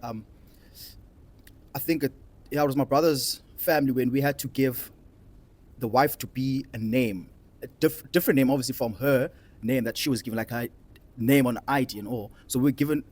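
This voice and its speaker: Young south african guy hosting a casual chat, medium pitch, repeats stuff for emphasis. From Cape Town, South Africa. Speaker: discussion host and participant. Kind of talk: casual conversational discussion.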